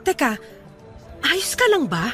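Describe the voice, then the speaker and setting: Very high, flustered middle-aged woman. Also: big pitch swings, takes it slowly, fairly bright tone, soft background music, full character voice. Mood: bewildered. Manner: questioning, bewildered, protesting. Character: flustered, guarded middle-aged woman facing her old sweetheart. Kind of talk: Filipino radio romance drama acting.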